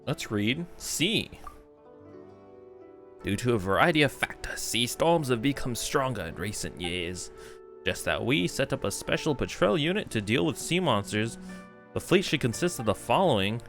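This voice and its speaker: A man's voice: mid-range voice, very animated, normal speed, fairly bright tone, soft background music. A young man doing casual livestream game commentary and reading text aloud, slangy and musing. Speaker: game streamer.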